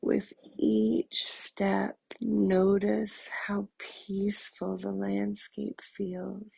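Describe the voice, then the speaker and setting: A woman softly guiding a meditation in a soothing, breathy, husky voice, leaving long pauses between phrases. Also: medium pitch, little pitch movement, dry recording, rough recording. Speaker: meditation guide. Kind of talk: guided meditation narration.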